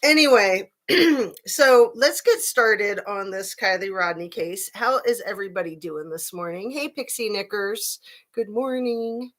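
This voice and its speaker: A woman in her fifties with a slightly raspy voice, hosting a casual live-chat commentary stream and greeting viewers between her points, with many fillers. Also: mid-range voice, unhurried, a bit bright. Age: 50s. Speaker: livestream host.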